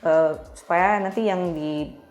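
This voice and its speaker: A woman's voice: fairly low pitch, slow pace, a little mellow, muffled recording, faint music behind. A woman with an Indonesian accent explaining her work in casual, colloquial interview talk, step by step with examples. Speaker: podcast guest, cybersecurity risk officer.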